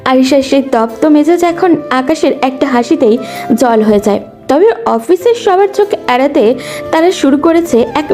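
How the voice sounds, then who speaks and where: High, mellow female story narrator. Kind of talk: scripted romantic story narration.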